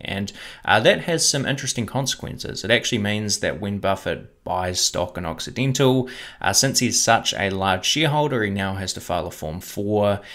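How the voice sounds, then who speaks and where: A young man hosting an investing channel, explaining stock purchases to camera in a steady scripted way from a room at home. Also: deep voice, gravelly. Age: young adult. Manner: measured, explanatory, steady delivery. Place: home room with bookshelf, indoors.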